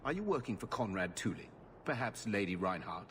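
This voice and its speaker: An old, wealthy nobleman in a fantasy video game. He speaks with haughty precision and widely varied pitch, over a faint bed of noise. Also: sing-song, a bit bright. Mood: haughty, indignant. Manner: haughty, measured, articulate. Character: savvy old rich nobleman, haughty and shrewd. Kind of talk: video game cutscene acting.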